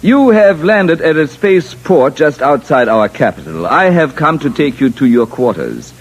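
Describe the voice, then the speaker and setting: An actor playing a courteous, smug alien official with a formal, ponderous manner in a 1950s radio drama. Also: male voice, fairly high pitch, sing-song, measured pace, rough recording. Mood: formal, welcoming. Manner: formal, ponderous, faintly condescending.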